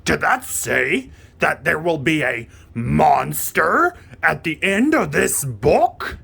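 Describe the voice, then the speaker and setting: Furry lil puppet monster for a kids storybook, gravelly, super dramatic, swoops all over the place. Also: male voice, on the high side, big pitch swings, sharp tone, exaggerated voice. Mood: excited, alarmed. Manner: melodramatic, drawn-out, emphatic delivery without contractions. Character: lovable furry male monster, excitable, easily frightened, pleading. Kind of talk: theatrical children's storybook puppet performance.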